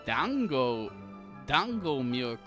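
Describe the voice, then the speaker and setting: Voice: mid-range voice, sing-song, very slow. A game player giving casual commentary during a playthrough. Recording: game music behind the voice.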